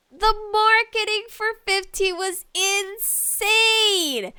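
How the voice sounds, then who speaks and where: Very high, bright-voiced woman podcaster. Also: no room sound. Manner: animated ranting with frequent giggles. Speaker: podcast host. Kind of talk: casual opinionated gaming commentary.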